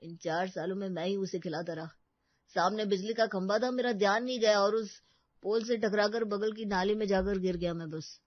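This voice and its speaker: A man's voice: sits high, steady tone, moderate pace, dry recording, natural voice. A heartbroken young man, voiced by a male actor, melodramatic and brooding. He speaks like a self-pitying lover in an audio story. The sound is dry and a bit dull. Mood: defensive. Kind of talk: dramatic audio story acting.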